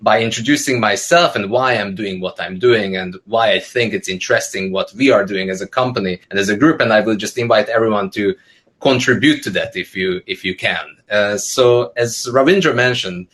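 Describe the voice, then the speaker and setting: A man's voice: fairly low pitch, moderate pace, fairly bright tone. A man in his thirties from Hungary, talking about his work to a webinar panel over a video call, conversational and full of fillers. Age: 30s. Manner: many fillers and restarts, conversational. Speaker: panel speaker, entrepreneur. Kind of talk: casual spoken talk. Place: indoor room, video call.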